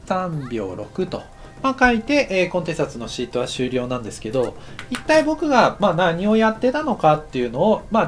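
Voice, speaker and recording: A man giving a casual, explanatory tutorial as an anime director, thinking aloud in short halting phrases. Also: a little high. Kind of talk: instructional lesson narration, casual and explanatory.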